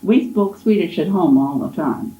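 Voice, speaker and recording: A woman in her eighties, an oral history interviewee, gently reminiscing in an unhurried, fond way. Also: on the low side, expressive, narrow, tinny audio. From Kansas, USA. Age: 80s. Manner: gentle, unhurried, fond storytelling with soft laughs. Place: college library. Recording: echoey library room.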